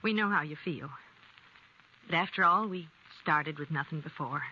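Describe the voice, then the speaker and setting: A woman's voice: deep voice, normal speed. An actress playing a proud, resigned farm wife and protective mother in a 1950s radio western. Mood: firm, resigned. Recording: muffled, lightly noisy 1950s radio recording.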